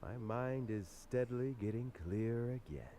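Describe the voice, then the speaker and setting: A man voicing a dignified, weary older statesman in a fantasy video game, speaking in a measured, reflective way. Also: mid-range voice, close to an everyday voice. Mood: grateful.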